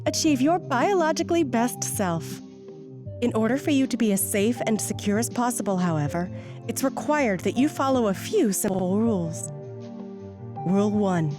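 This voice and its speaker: A woman's voice: on the high side, lively intonation, moderate pace, close to an everyday voice. A woman playing a chirpy, sinister announcer on a mental facility's orientation tape, with a polished, saccharine sales delivery. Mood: falsely cheerful. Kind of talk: scripted promotional video narration. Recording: music under the voice. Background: music.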